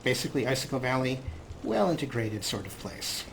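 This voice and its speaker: A man's voice: medium pitch, big pitch swings, fairly quick, gravelly, a bit bright, slight background noise, rough recording. A man hosting a let's play, giving casual game commentary with wry, dry humor. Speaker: gaming video host.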